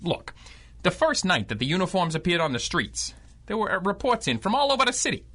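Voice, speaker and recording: An actor playing a middle-aged, wisecracking 1930s crime reporter with a slangy, wry delivery in a radio drama. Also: male voice, high-pitched, very animated, a little fast, fairly bright tone, exaggerated voice. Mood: wry, animated.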